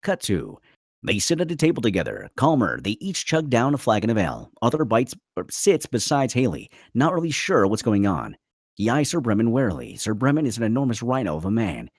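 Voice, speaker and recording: A man's voice: medium pitch, wildly swooping pitch, brisk, no echo, studio quality. A man narrating screenplay stage directions dramatically at a table read.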